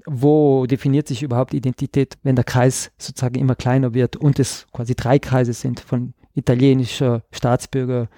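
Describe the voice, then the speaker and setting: A man's voice: mid-range voice, little pitch movement, normal speed, few pauses, mellow, no room sound, clean studio sound. A man from South Tyrol with a South Tyrolean German accent, an artist talking reflectively as a podcast guest, searching for words with many fillers. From South Tyrol, Italy. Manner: searching, full of fillers and restarts, thoughtful. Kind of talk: reflective conversational interview.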